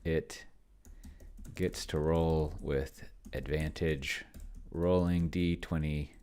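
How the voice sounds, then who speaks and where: Male gamer-host, very low gravelly register, unhurried, halting delivery, muttering through his moves as he works them out. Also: fairly even intonation, a little hiss or hum. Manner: halting, thinking out loud, muttering to himself. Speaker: solo tabletop gamer, video host. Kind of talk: casual think-aloud gameplay commentary while typing.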